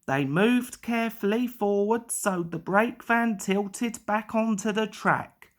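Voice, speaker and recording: A woman's voice: medium pitch, slow. A woman reading a scripted children's story aloud as narrator.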